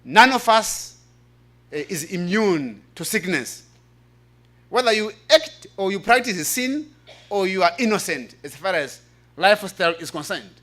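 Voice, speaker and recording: A man with an African accent speaks in a high, forceful voice. He presents like a preacher at a conference, earnest and emphatic. He tends to repeat his key phrases to drive them home. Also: expressive, measured pace. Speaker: presenter reading a paper at a church health forum.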